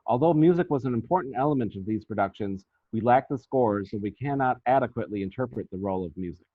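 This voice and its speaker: A man reading out a scripted academic paper as a researcher, in a formal, measured and deliberate manner. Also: medium pitch, animated, no room sound. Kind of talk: scripted academic presentation, read aloud. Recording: thin, phone-like band-limited sound.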